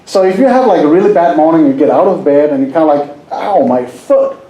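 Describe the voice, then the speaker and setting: A man's voice: on the high side, expressive, fairly quick, warm tone, roomy sound, low-quality recording. A man from Denmark with a Danish accent, presenting a live hypnosis seminar and joking with the audience. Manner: jokey, asks the audience questions.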